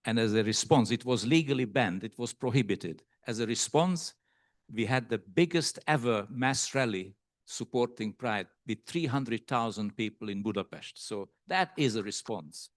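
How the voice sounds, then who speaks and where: A man from Hungary speaking as a panelist at a conference, with a halting delivery full of hesitations. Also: mid-range voice, lively intonation, no room sound, clean studio sound. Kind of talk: panel discussion remarks. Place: conference hall.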